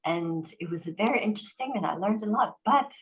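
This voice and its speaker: A woman host in a relaxed conversation, telling stories animatedly with quick fillers and restarts. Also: mid-range voice, low-quality recording. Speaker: organization president and host. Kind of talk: conversational interview.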